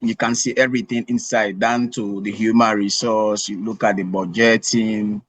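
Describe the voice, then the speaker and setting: A man's voice: fairly low pitch, flat delivery, normal speed, a bit bright, no room sound. A man, a course participant, speaking earnestly on a video call and repeating words as he thinks. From Sierra Leone.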